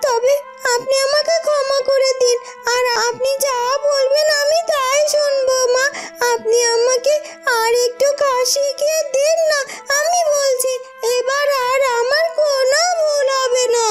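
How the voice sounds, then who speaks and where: Very high, childlike naive young wife. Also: female voice, fairly even intonation, unhurried, soft background music. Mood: pleading. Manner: childlike, coy, whining. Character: naive, whiny, simple-minded young village wife. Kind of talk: Bengali cartoon voice acting.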